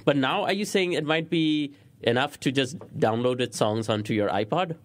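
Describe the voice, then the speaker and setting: A man's voice: medium pitch, normal speed. A man hosting a radio interview, asking curious and playful questions.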